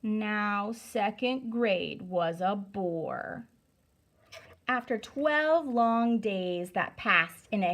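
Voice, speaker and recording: A woman reading a storybook aloud to children as a teacher, deliberate, emphatic and instructive. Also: a little high.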